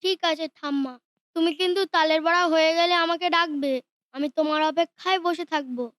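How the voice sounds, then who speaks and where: A woman's voice: very high voice, steady tone, fairly quick, fairly bright tone, no echo, clean studio sound. A woman voicing a sweet, secretive young boy in a cartoon who talks hesitantly. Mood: childlike. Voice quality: childlike, hesitant.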